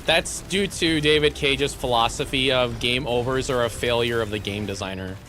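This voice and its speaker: Excitable young man losing his voice. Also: mid-range voice, moderate pace. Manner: excitable, laughs often, repeats words in bursts. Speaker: live streamer. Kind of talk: casual stream chat.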